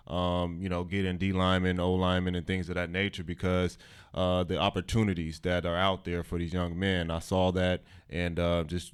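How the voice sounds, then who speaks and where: A man in his thirties, a football coach, answering interview questions in a halting way with many fillers. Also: sits low, smooth.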